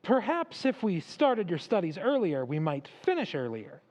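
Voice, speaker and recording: A polite tutor played by a man in an old-time radio drama. The voice is high and very lilting, with proper, careful phrasing and a gently cautioning manner. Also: wildly swooping pitch, moderate pace. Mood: indulgent.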